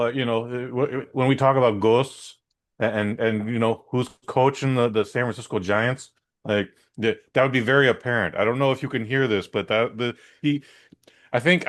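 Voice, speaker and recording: An American man co-hosting a podcast, telling rambling, easygoing stories full of fillers. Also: mid-range voice. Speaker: podcast co-host. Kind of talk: casual podcast chat.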